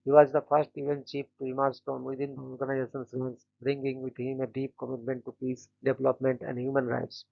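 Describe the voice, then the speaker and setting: A man hosting a daily channel, reading short scripted biographies in a flat, steady way and stumbling now and then on names. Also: medium pitch, a little fast, vocal fry, hardly any pauses, muffled recording. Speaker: host of a daily events channel.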